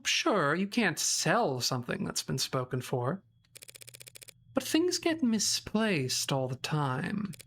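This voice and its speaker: A man in his thirties playing a smooth-talking, roguish male customer with a sly, slippery manner. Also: mid-range voice, fairly bright tone, slight background noise.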